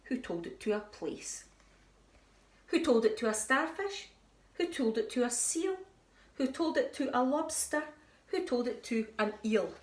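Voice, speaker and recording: A woman, a nursery teacher, reading a picture book aloud to young children in an expressive, sing-song way. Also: fairly high pitch. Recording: echoey room.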